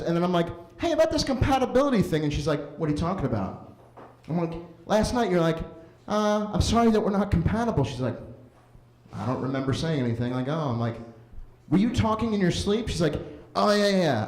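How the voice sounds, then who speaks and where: A man in his late thirties doing a stand-up set at an open mic in a basement, telling stories animatedly and jumping into high voices for quoted lines. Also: darkish tone. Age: 30s. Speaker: stand-up comedian. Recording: roomy basement echo.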